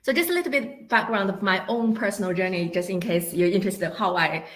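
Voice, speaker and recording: A woman with a Mandarin Chinese accent gives an academic presentation in English as a second language, explaining in a halting, semi-spontaneous way. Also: mid-range voice. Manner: halting, explanatory, non-native phrasing. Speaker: guest speaker, university academic.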